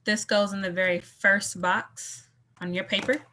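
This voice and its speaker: A woman teacher guiding a lesson step by step, deliberately repeating her questions and instructions. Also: medium pitch, flat delivery, bright, forceful tone, slight background noise. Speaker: school teacher.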